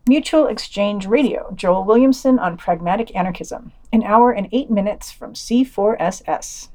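A woman's voice: mid-range voice, normal speed, mellow. A woman reading scripted podcast reviews with a wry, lightly sardonic manner.

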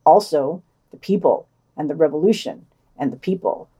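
A woman's voice: deep voice, lively intonation, normal speed, warm tone, husky. A woman reading a scripted podcast news digest, adding wry, sardonic commentary in a dry manner.

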